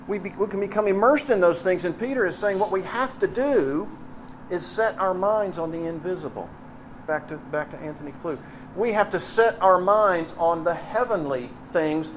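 A middle-aged man with a Texas accent preaching in a conversational, emphatic way, pausing on key phrases. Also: high voice, a little hiss or hum, rough recording. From Texas, United States. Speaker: preacher and seminary teacher. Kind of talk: expository sermon with anecdotes.

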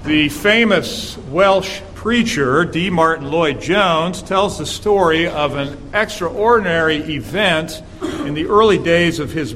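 A man with a raspy, slightly higher voice preaches as a visiting pastor in a church, reading scripture and then telling stories in an earnest, measured way. A faint hiss of room ambience sits behind him. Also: a bit bright. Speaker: guest preacher.

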